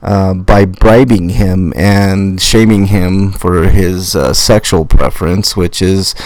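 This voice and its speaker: Hoarse male podcast host, very low pitch, blunt and rambling. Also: fairly even intonation, slow, darkish tone, no room sound, poor audio. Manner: rambling, filler-heavy, blunt. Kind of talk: casual live-stream chat.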